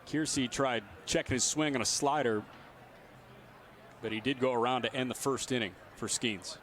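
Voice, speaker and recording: A man works as a radio baseball announcer, calling the game live. His voice sits at a middling pitch. Faint outdoor stadium ambience runs behind the commentary. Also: normal speed, fairly bright tone, slight background noise. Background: ballpark ambience.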